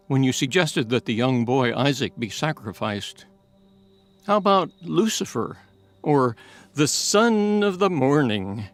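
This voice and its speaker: A man as an animated, slow-paced elderly scholar, mocking. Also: fairly high pitch. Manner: eloquent, theatrical, mocking. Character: elderly learned scholar, fearless, wry and taunting. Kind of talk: dramatized audiobook acting.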